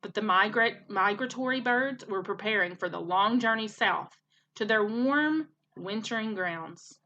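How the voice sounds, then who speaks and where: A woman's voice: medium pitch, animated, unhurried, sharp tone, highs cut off, a little hiss or hum. A woman narrating a children's storybook expressively, like a teacher reading aloud.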